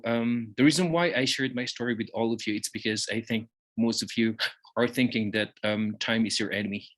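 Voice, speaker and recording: A man's voice: fairly low pitch, a bit bright. A man with a Filipino accent speaking as a business coach and guest, giving an informal motivational talk on a video call. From Philippines.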